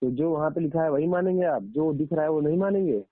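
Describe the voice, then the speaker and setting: A man's voice: on the high side, fast, no room sound. A man calling in to a phone debate, challenging the other side with pointed questions. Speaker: caller challenging the organiser. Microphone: phone line. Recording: tinny narrowband phone call recording.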